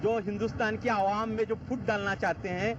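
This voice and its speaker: A local man speaking in a street interview, with dull audio and clear outdoor noise. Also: sits high, flat delivery, fairly quick. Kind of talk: vox pop interview.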